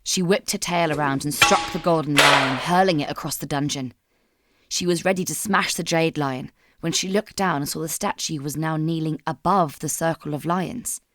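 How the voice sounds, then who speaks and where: A woman narrating scripted dramatic fan fiction as a storyteller, in a measured, solemn manner. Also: low voice, a bit bright, slight background noise.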